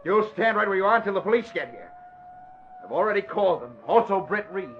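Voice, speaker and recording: A hard, triumphant gunman from a 1940s radio thriller. His high-pitched voice gives stern orders through a dull, slightly noisy broadcast sound. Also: lively intonation, fast. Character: hard, triumphant gunman holding a masked man captive.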